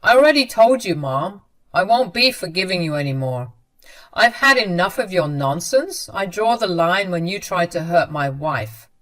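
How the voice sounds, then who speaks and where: A woman's voice: lowish voice, lively intonation, moderate pace, a bit bright. A woman voicing an angry grown son who coldly cuts off his mother. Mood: firm. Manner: firm, clipped.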